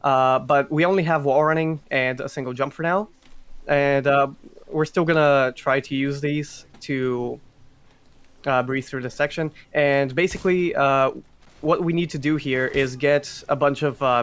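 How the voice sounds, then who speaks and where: A man giving live explanatory speedrun commentary, halting and often repeating words as he explains. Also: medium pitch, a bit bright, smooth.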